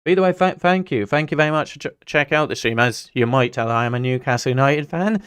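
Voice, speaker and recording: A man with a smooth, clean voice at an average pitch hosts a football livestream. He is from Singapore and talks casually to viewers as a fan. His delivery rambles, hopping between chat and commentary on the play. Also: lively intonation, fast, a bit bright. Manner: rambling, chatty, jumps between anecdote and play-by-play. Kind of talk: casual match watch-along commentary, chatting with viewers.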